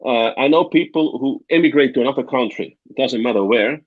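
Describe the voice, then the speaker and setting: A man's voice: mid-range voice, fairly quick, no room sound. Swiss German man on a call. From Switzerland. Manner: chatty, hesitant, many restarts. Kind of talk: casual video call chat. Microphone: video call. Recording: dull lo-fi call audio.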